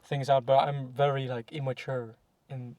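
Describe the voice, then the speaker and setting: A man's voice: mid-range voice, level tone, moderate pace, mellow, dry recording. A young man talking casually and reflectively in a podcast chat, with many fillers and self-corrections. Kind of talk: casual conversation.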